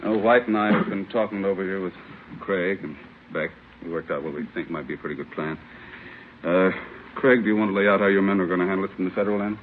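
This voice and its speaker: An actor playing a courteous, authoritative chief of detectives in an old radio drama, brisk, with small hesitations. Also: male voice, low voice, lightly acted. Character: commanding, courteous male chief of detectives chairing a meeting. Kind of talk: 1950s radio acting. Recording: noisy, muffled old radio recording.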